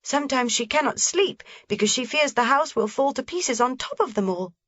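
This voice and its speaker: A woman's voice: high-pitched, expressive, moderate pace, a bit bright, no echo, voice pushed up. A woman voicing an eager, pleading little girl in a storybook reading. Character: bright excited little girl. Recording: dry, slightly dull recording.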